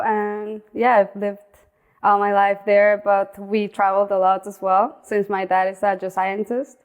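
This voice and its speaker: A young woman speaking English with a Mexican accent, Spanish being her first language. She talks in an even, narrow pitch band, pausing and restarting phrases as she tells of herself as a guest. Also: clean tone. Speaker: guest, outdoor content creator. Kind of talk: casual interview talk.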